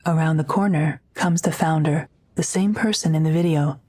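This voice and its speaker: Female narrator for sci-fi audio drama; deep, slightly rough voice, scripted scene description. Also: moderate pace, dark tone.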